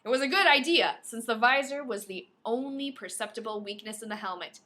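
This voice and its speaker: A woman co-hosting a podcast, reading a novel aloud in an animated, playful way and breaking off for casual banter. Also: on the high side, a little fast. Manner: playful, dramatic, often breaks off to comment.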